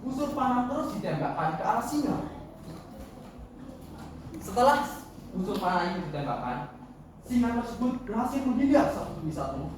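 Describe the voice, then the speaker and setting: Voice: medium pitch, big pitch swings, moderate pace, pauses often. A contest storyteller narrating a tale in a theatrical, declamatory way. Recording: echoey hall, distant mic, noisy.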